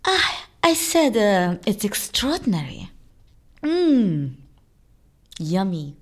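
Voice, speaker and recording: Daring escape artist, lively. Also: female voice, mid-range voice, takes it slowly. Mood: amused. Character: cool, daring female Russian escape artist. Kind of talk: audio drama acting.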